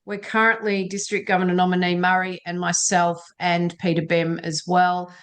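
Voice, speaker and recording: Woman, meeting moderator; relaxed, reassuring, filler-heavy delivery. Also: on the low side, normal speed. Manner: chatty, frequent ums, reassuring. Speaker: session moderator. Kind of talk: online meeting Q&A.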